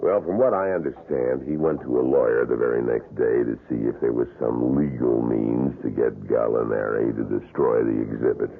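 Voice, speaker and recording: A man's voice: a bass voice, steady tone, normal speed, vocal fry, hardly any pauses. An actor playing a wax-museum tour guide with a showman's patter, in a 1970s radio drama heard over a tinny old broadcast. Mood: confiding. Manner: showman patter on tour, confiding when retelling.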